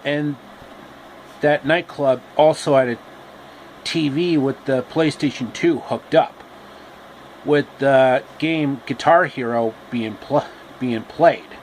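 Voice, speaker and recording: Slow, halting man telling a casual story. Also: medium pitch, slight background noise.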